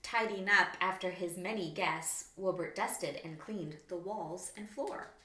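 A woman's voice: fairly low pitch, measured pace, noisy background. A woman in her thirties, a children's author, reading a storybook aloud expressively in a small room. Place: small plain room indoors. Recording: roomy, echoing indoor room.

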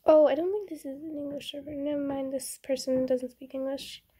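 A young woman with a high voice chats her way through a game, rambling and easily excited. Her tone is soft and mellow, and a cold leaves her nose a little stuffy. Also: brisk. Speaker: gaming channel host. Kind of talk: casual game commentary.

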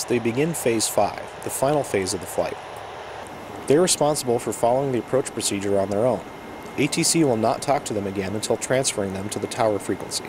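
A man reading scripted educational narration about procedures in a measured, explanatory manner. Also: lowish voice. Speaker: narrator of an aviation training video.

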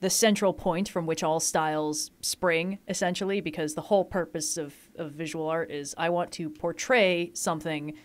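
A woman hosting a casual podcast, lively and quick to laugh at herself. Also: on the low side. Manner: lively, laughing, self-deprecating. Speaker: podcast host. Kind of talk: casual chat.

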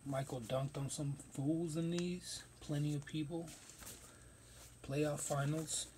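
A man's voice: a little high, fairly even intonation, takes it slowly, darkish tone, a little hiss or hum. A man hosting a casual sneaker unboxing vlog, talking in a loose, rambling way with plenty of slang, recorded on a phone camera in a room stacked with shoe boxes. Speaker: sneaker reviewer.

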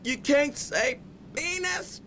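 A man's voice: slow, pushed and bright. An American man hosting a comic live call-in show, with an exaggerated, playful jive delivery. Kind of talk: comic live phone-in banter. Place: small studio with acoustic foam walls. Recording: low-fi tape, some rumbly noise.

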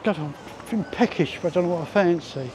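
A man's voice: sits high, quick pace, noisy background. A man with a Cockney accent giving chatty walking-tour commentary outdoors, thinking aloud as he goes. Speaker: vlogger. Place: outdoor resort streets. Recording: busy street crowd behind.

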